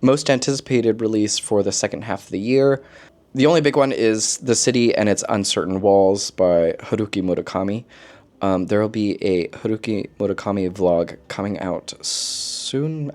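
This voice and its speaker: A man chatting casually as a book vlogger, expressive and slangy, with playful asides. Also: fairly low pitch, moderate pace. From lives in Korea, origin unstated. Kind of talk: casual chat, book recommendations to camera.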